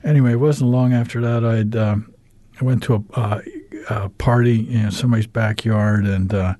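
A man in his fifties with an American accent, a guest on a radio show who tells his story in a rambling, halting way, full of ums and uhs, with wry humor. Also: on the low side, steady tone, warm tone, no room sound. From United States. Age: 50s. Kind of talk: candid storytelling interview.

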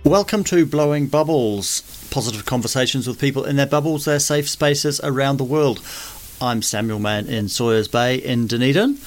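A man's voice: medium pitch, normal speed. A New man hosts an interview in a mid-range voice. He keeps his questions short and friendly. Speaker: podcast host, interviewer.

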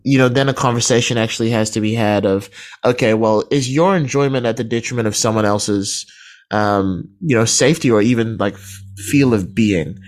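A man talks casually as a guest on a radio show. His voice is mid-pitched for a man. He is thoughtful and a little halting, repeating words while he builds his point. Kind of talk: casual reflective discussion.

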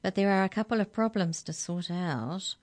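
A woman's voice: mid-range voice, normal speed, darkish tone, voice pushed up, natural voice. A woman voicing a crafty male giant pie maker in a children's story, with a cautious, wheedling manner. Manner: cautious, self-justifying, wheedling.

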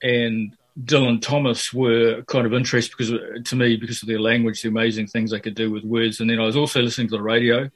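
A man's voice: medium pitch, flat delivery, quick pace, few pauses, breathy edge. An older man from Fiji and New Zealand, a poet answering in a radio interview, talking hesitantly with many fillers and restarts.

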